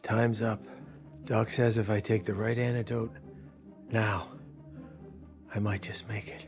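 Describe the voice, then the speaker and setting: An actor playing a poisoned middle-aged uncle, weary and resigned, speaking weakly and haltingly in a film drama. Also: male voice, fairly low pitch, flat delivery, darkish tone, lightly acted. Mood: weak, pleading. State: weak, dying. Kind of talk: acted film dialogue. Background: music.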